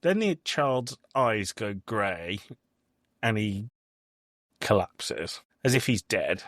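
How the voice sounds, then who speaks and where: A man's voice: lowish voice, very animated, slow pace, a bit bright, no room sound, clean studio sound. A man hosting a podcast in casual, emphatic, opinionated conversation. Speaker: podcast host. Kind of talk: casual chat reviewing a film.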